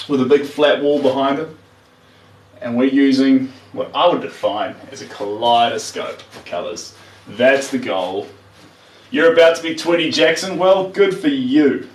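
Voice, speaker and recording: A man, chatting casually and earnestly while painting on a livestream, giving plain-spoken motivational advice. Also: medium pitch. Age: 30s. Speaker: painter streaming live. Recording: roomy, distant mic.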